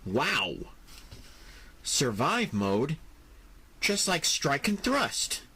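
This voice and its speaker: A man's voice: a little high, wildly swooping pitch, drawn-out words, bright, forceful tone, a little hiss or hum, full character voice. A man voicing a laid-back, easily astonished masked motorcyclist hero in an amateur audio drama. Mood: amazed.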